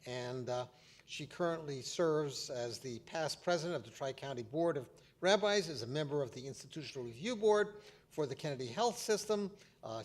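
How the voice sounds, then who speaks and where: A man moderating a panel discussion, reading out panelist introductions with many hesitant fillers. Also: mid-range voice.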